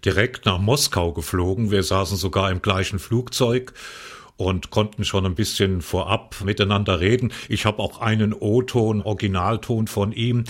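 Older radio co-host from the Palatinate, low voice, loose reminiscing storyteller. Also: male voice, moderate pace. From Palatinate, West Germany. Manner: reminiscing, relaxed storytelling with fillers. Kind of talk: casual radio talk.

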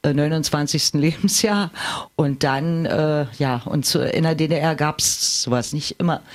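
A middle-aged or older man with a fairly high voice for a male speaker. He talks in a casual, personal way as a participant in a conversation. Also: normal speed. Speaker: conversation participant asking questions.

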